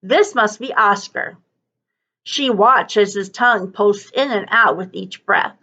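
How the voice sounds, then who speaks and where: A woman reading a children's story aloud like a teacher, with deliberate, dramatic emphasis. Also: medium pitch, pushed and bright, muffled recording. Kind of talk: expressive children's story narration.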